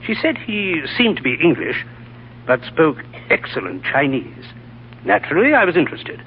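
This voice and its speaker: An actor playing a sensible, concerned gentleman writer, polite and clear, in 1940s radio drama style. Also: fairly high pitch, sing-song, normal speed, slight background noise. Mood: earnest, gentle. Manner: polite, solicitous, clearly enunciated. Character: concerned, sensible gentleman writer looking after his sick friend. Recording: tinny old radio broadcast, faint music.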